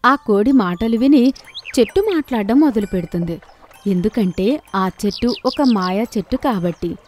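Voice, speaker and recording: A woman narrating a children's animated moral story in a gentle, steady storytelling voice. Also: medium pitch, normal speed, soft background music.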